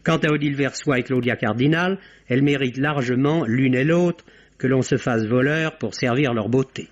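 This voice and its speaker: A middle-aged man reading a polished, measured film review on an old radio broadcast. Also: mid-range voice. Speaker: film critic. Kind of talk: scripted spoken review. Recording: dull old radio recording.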